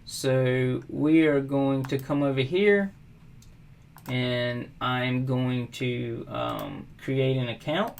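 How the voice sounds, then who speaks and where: Man, middle-aged, from South Carolina, screen tutorial host, slow talker, casual with lots of ums, typing behind. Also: medium pitch, creaky, a little hiss or hum. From South Carolina, USA. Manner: relaxed, unhurried, frequent ums and uhs. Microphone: webcam overlay at desk.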